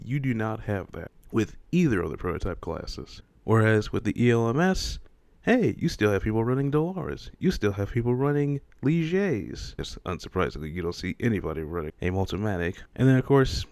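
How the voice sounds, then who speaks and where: A man's voice: on the low side, darkish tone, clear, resonant tone, dry recording. A man hosting an opinion video, talking casually and in an exasperated way, with plenty of rhetorical questions. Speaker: host of a motorsport opinion video.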